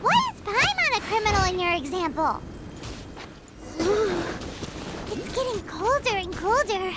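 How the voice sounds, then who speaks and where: An actress voicing a tiny, chatty female fairy companion in a video game, speaking of herself in the third person with a squeaky, childlike voice. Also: big pitch swings, measured pace, very noisy, full character voice. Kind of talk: video game cutscene dialogue.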